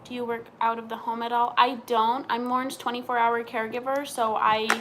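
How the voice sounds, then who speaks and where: A woman's voice: on the high side, fairly quick, a little hiss or hum. Chatty young woman reading viewer questions. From Montana. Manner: relaxed, chatty, answers viewer questions aloud. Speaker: live stream host. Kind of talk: casual live stream chat, reading viewer questions.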